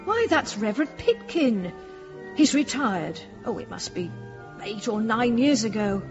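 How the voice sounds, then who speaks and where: An actress playing a fussy, deferential, pious church housekeeper in a period radio drama, polite and easily flustered. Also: female voice, on the high side, very animated, unhurried, over music. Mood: chatty, deferential. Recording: reverberant church, slightly dull sound.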